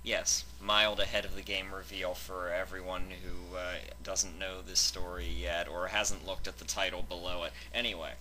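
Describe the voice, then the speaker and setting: Bright, forceful man bantering as channel host. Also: low voice, level tone, moderate pace, few pauses, slight background noise, poor audio. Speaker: fanfic reading channel host. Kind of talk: casual banter introducing a reading.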